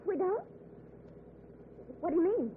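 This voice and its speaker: An actress playing an anxious, suspicious passenger in an old-time radio thriller, uneasy and probing. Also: female voice, very high voice, animated, measured pace. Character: anxious, suspicious female passenger, wary and questioning. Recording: tinny, noisy old radio broadcast recording.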